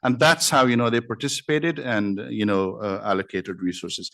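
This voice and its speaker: A man's voice: fairly low pitch, expressive, fairly quick, sharp tone, clean tone. A man speaking as a conference panelist, hesitant, with many fillers and restarts. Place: conference breakout room.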